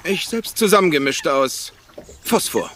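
An actor playing a casual, forgetful gentleman in a retro crime radio play. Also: a little high, lively intonation, measured pace. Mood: offhand. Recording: clear background noise.

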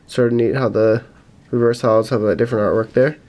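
A young man with a slightly raspy voice from a cold, giving casual, matter-of-fact commentary as he hosts an unboxing video. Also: medium pitch, steady tone, quick pace, a little mellow. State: getting over a cold. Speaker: YouTube host opening trading card packs. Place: indoors at a table in a home room. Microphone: camera mic at about arm's length. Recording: noisy room, card and wrapper crinkling. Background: crinkling packs, clicks, faint noise.

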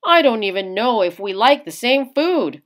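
An earnest young sailor in love voiced by a woman reading a storybook, fretful and emphatic. The pitch moves up and down in an animated way. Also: moderate pace. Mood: anxious, resolved.

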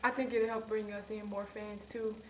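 A young woman college player answers in a flat, even voice, shy and hesitant with plenty of "um"s. She sounds set back from the mic, with clear room noise around her. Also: mid-range voice, normal speed, far from the mic. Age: young adult.

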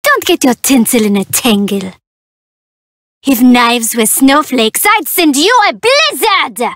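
A woman's voice: sits high, sing-song, slow, fairly bright tone, no room sound, clean studio sound, heavily acted. A woman voicing a playful, cheeky young half-tiger catgirl thief for a video game, perky, teasing and theatrical. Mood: sly, teasing. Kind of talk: video game character voice lines.

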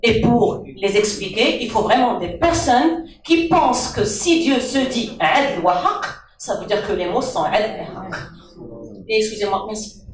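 A woman's voice: medium pitch, lively intonation, unhurried, noisy background. A middle-aged woman speaking from the audience at a public debate, emphatic and questioning, into a handheld mic. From Morocco. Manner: emphatic, questioning, with rhetorical asides. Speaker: audience member. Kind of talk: impassioned debate contribution. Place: crowded indoor meeting room. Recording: echoey room from far off.

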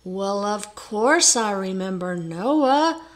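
A woman voicing an excitable, gullible older carpenter in a storybook tale, pious, proud and given to blustering exclamations. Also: a little high, a little slow. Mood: excited, alarmed.

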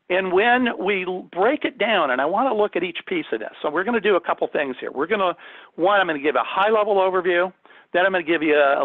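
A man with a slightly high voice teaches an online business workshop. He speaks conversationally but with emphasis, putting questions to his listeners. The sound is narrow and phone-like, with very little room around it. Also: dry recording. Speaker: corporate communications trainer. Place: indoors, on a video call. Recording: thin phone-like audio, dry.

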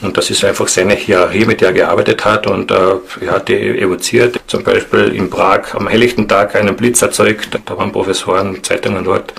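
A man's voice: on the low side, little pitch movement, a little fast, creaky, few pauses, a bit bright, slight background noise. A man giving an informal, conversational account in an interview.

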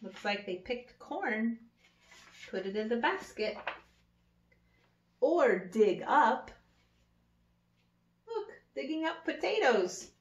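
Woman reading a picture book to little kids, really slow n deliberate, echoey room, bit dull sounding. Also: a little high, big pitch swings, slight background noise. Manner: simple, deliberate phrasing with pointing-out asides. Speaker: early education teacher reading aloud. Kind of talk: children's picture book read-aloud. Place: indoor room with wood-paneled wall.

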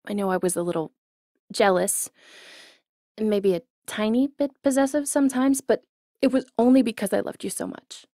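A woman's voice: on the high side, normal speed, warm tone, dry recording, clean studio sound, close to an everyday voice. A young woman playing a jealous, teasing college ex-girlfriend in an intimate ASMR roleplay, speaking expressively straight to the listener. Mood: indignant, defensive. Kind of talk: ASMR roleplay acting, intimate one-sided dialogue.

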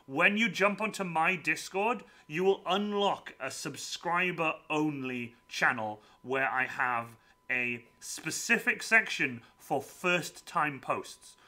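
A man hosting a live stream from a room at home, chatting casually with a guest and reading out viewer messages. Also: fairly high pitch. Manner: lively, chatty, quick asides to viewers. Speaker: streamer host.

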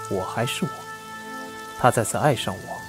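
A male actor plays an aloof immortal lord in a costume fantasy audio drama. He speaks low, cool and measured, with possessive self-assurance, while music plays clearly underneath. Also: lightly acted. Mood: proud, assured.

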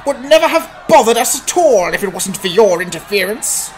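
A man's voice: sits high, sing-song, moderate pace, soft background music. A man voicing a self-righteous village priest in a fantasy audiobook, declaiming and accusing with outrage. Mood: bitter blame. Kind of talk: dramatized fantasy audiobook acting.

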